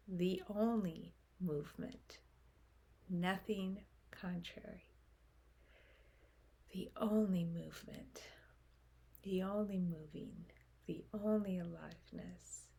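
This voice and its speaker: A woman leading a guided meditation in a soft, breathy voice, speaking gently in short phrases with long pauses. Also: on the low side. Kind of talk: guided meditation, slow unfolding instruction.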